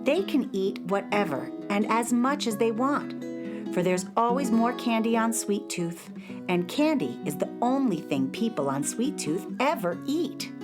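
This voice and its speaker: Female narrator for children's audio series; slightly high, very lively expressive storytelling, guitar underneath. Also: measured pace. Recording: guitar music behind the voice.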